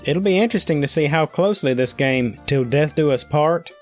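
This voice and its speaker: Affable date played by a man, lively mid-pitched delivery, loud music and crowd underneath. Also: a little fast, close to an everyday voice.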